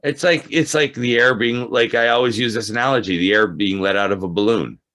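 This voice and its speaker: A man's voice: medium pitch, a little fast, a bit bright. A man hosting a casual movie chat, agreeable and often echoing what he hears. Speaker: podcast host.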